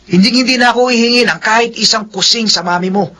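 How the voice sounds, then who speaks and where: Proud boyfriend for radio drama: lightly high, forceful voice, defensive and insistent. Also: male voice, fast. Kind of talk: melodramatic radio drama acting.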